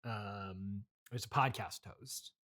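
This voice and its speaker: A man hosting an improvised comedic interview podcast, speaking in a halting, stammering way. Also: on the low side, bright, forceful tone. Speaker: podcast host.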